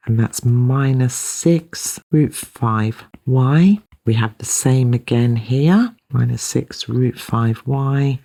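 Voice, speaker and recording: Slow-paced British woman teaching maths. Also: a bass voice, dark tone. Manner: methodical, explanatory. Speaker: maths tutor presenting a video lesson. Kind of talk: step-by-step instructional explanation.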